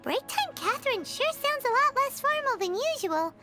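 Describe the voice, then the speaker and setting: A tiny floating fairy companion from a video game. The voice is extremely high and childlike, with animated, chatty delivery. Clear background music plays underneath. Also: female voice, moderate pace. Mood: perky, curious. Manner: refers to self in third person, exclamatory.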